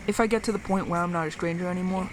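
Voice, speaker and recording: Hesitant survivor on noisy radio. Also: female voice, on the low side, fairly even intonation. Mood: hesitant, awkward. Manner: hesitant, halting, earnest. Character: lonely, awkward apocalypse survivor calling out by radio. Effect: radio transmission. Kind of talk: scripted audio drama radio transmission. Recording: noisy radio transmission with static.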